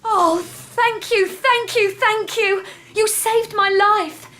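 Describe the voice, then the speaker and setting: A woman's voice: fairly high pitch, measured pace, close to an everyday voice. A woman playing a dramatic, genteel courtesan and damsel in a video game, with a theatrical, mannered delivery. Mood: grateful, indignant. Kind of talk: video game character acting. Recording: reverberant hall sound, music underneath.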